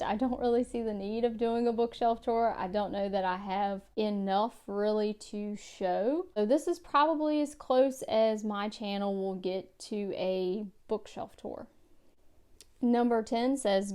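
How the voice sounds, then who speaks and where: A woman vlogger going through a book tag at home by her bookshelves, reading each question and answering in a careful, measured way. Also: medium pitch, darkish tone, clear, resonant tone. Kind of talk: casual book tag vlog.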